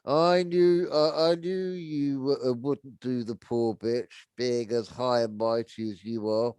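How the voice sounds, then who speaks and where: A man's voice: mid-range voice, steady tone, a little slow, a little mellow, clear, resonant tone, dry recording, lightly acted. A man reading the part of a smug, callous military commander in a storybook, taunting and sneering as he talks.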